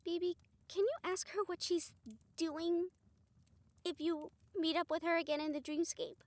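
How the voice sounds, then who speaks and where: A woman's voice: extremely high pitch, steady tone, measured pace, a little mellow, no room sound. A woman playing a concerned, exasperated young woman in an audio drama, casual, emphatic and affectionate. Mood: pressing concern. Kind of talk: audio roleplay drama acting.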